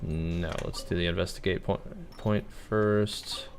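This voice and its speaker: A man's voice: deep voice, steady tone, unhurried, a little hiss or hum. A man giving casual livestream commentary as he plays a game, musing aloud.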